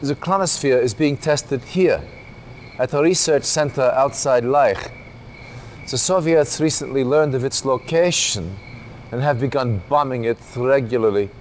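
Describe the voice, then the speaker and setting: An actor playing a grave, authoritative military commander in a video game cutscene, speaking in a stern, formal, deliberate way. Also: male voice, mid-range voice, slight background noise, close to an everyday voice. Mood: commanding.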